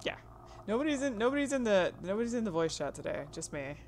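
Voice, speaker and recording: A woman with a husky voice chatting casually to her online audience during a live stream, rambling, trailing off and restarting, laughing often. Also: medium pitch, very animated, fast talker, slight background noise.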